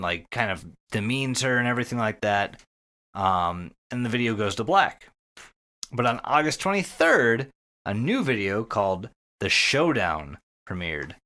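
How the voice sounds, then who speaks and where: A man's voice: fairly low pitch, sharp tone. A man hosting a podcast, recapping a story casually and enthusiastically, swearing freely and repeating words. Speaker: podcast host.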